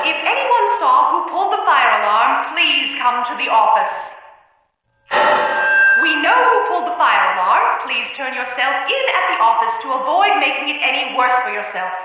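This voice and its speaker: A prim, menacing school secretary booms through a heavily echoing PA system. Her high voice swoops with prissy sternness and false politeness. It is a video game performance of formal, scolding announcements. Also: full character voice. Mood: indignant, scolding. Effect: school PA loudspeaker reverb. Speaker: school secretary making public address announcements. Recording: very echoey PA with long reverb.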